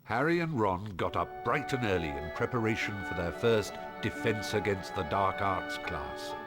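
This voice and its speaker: A man's voice: lowish voice, lively intonation, normal speed, music in the background, close to an everyday voice. A man acting as storybook narrator in a video game, telling a scripted tale. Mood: storytelling. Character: male storyteller narrating wizard school tale.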